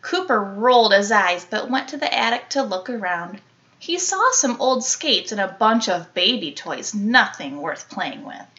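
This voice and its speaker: A woman reading a children's picture book aloud like an elementary teacher, animated, with dramatic emphasis and playful sound-effect words. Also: medium pitch, measured pace, highs cut off.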